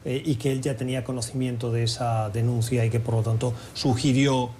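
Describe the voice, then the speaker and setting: Mellow-voiced male news host, faint noise. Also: medium pitch, level tone, brisk. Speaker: host of a news panel show. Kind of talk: broadcast presenting and questioning.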